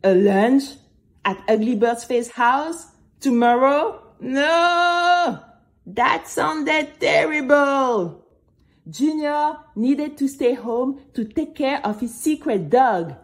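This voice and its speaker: A nervous, dreamy girl played by a woman narrates her own children's story. Her delivery is slow and very animated, with dramatic, chatty asides to the listeners. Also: sits high, voice pushed up. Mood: dismayed. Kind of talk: scripted first-person storytelling for children.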